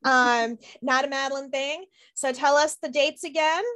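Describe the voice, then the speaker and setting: A woman's voice: high-pitched, steady tone, moderate pace, a bit bright. A middle-aged woman interviewing casually on a video call, informal and a little self-deprecating. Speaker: interviewer, host. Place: small room at home.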